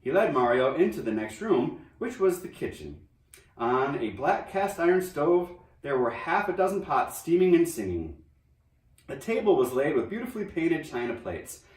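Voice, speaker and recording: A man reading a children's novel aloud in an expressive storybook narration style. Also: a little high, moderate pace. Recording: roomy echo, distant microphone.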